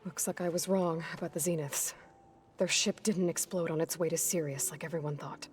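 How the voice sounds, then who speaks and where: A determined young woman voiced by an actress in a cinematic game scene. Her voice sits fairly low, and she speaks tersely with dry reserve. The delivery is understated and naturalistic, with a weary edge. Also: steady tone, brisk, darkish tone, close to an everyday voice. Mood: sober, weary. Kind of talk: naturalistic video game cutscene acting.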